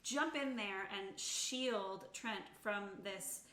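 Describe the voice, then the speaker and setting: A woman's voice: mid-range voice, slow pace, sharp tone. A woman chatting casually as a player in a tabletop roleplaying game, thinking aloud as she goes. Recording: roomy echo, faint background noise.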